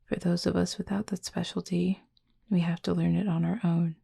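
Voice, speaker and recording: Woman voicing a soft, husky, low-pitched gentle Cupid. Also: little pitch movement, exaggerated voice. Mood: calm, explanatory. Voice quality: soft, breathy, husky, often near-whisper. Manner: slow, measured, formal storytelling with soft pauses. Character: gentle adult Cupid roommate, wistful, affectionate, softly teasing. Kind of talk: intimate ASMR audio roleplay.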